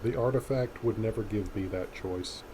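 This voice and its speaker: Grave, guarded space explorer lead male voice, low and measured, faint noise behind, visual novel drama. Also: mellow, close to an everyday voice, low-quality recording. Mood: solemn. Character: solemn, guarded male miner-explorer hero.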